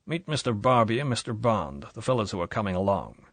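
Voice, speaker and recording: A man's voice: on the low side, big pitch swings, a little fast, dry recording. A man voicing a bullying rich American yacht owner who talks in a mocking drawl, with an American accent.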